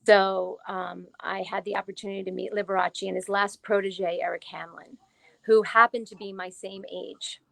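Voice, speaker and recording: A woman's voice: mid-range voice, moderate pace, vocal fry, dry recording, low-quality recording. Chatty woman in her forties. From New Jersey, US. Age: 40s. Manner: chatty, animated storyteller, laughs often. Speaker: guest, author. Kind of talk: casual interview storytelling.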